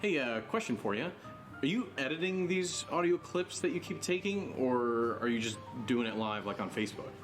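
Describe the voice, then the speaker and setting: An actor playing a sarcastic, wisecracking sidekick in a naturalistic horror audio drama, with a teasing, joking manner. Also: male voice, medium pitch, expressive, moderate pace, music in the background, lightly acted. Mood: playful. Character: sarcastic, wisecracking buddy of the hero.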